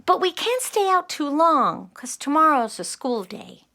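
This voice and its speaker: A woman's voice: high voice, sing-song, unhurried, pushed and bright, heavily acted. A woman playing an earnest, inquisitive nine-year-old girl in a children's audio play. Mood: worried, curious. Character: nine-year-old girl, eager but a little scared.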